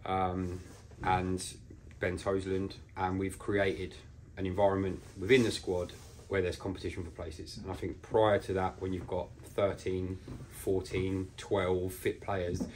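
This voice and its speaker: Deep, flat-toned male football manager. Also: a little slow, slight background noise, low-quality recording. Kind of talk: post-match interview. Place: small bare indoor room.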